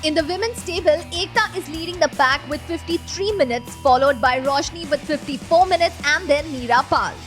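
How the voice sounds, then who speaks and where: A woman with an Indian English accent co-presenting a fitness race with brisk, clear delivery. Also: sits high, a bit bright, faint music behind. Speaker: race commentator. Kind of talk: scripted sports commentary.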